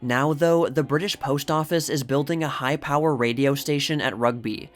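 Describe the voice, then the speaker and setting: A man with a medium-pitched voice reads a magazine article aloud as scripted narration. His pace and pitch range are ordinary, and music plays clearly behind him. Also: moderate pace.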